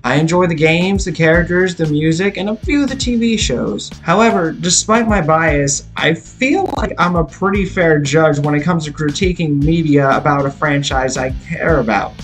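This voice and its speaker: A man reading a scripted movie review as a video reviewer, in a measured, emphatic, articulate way. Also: on the high side. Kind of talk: scripted film review narration. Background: rock guitar music.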